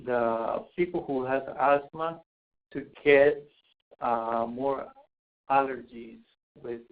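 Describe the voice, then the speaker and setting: A man's voice: medium pitch, creaky. A man from Costa Rica giving a webinar talk on home visits, hesitant, with many fillers and restarts. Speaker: asthma program home visitor. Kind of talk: webinar presentation. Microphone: webinar call mic. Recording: tinny phone-like webinar audio.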